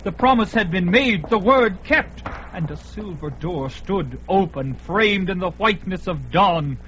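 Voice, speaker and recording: A man's voice: high-pitched, animated, measured pace. A man narrating a fantasy radio story with a dramatic, swelling delivery. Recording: dull old recording with loud hiss.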